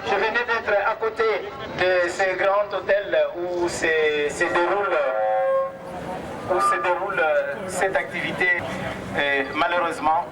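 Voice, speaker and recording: A man's voice: sits high, fairly even intonation, measured pace, a loud crowd. A man from the Democratic Republic of the Congo with a Congolese accent, giving an emphatic, impassioned protest speech that repeats phrases for effect. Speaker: activist speaker at a protest. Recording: distant mic, noisy outdoor street sound.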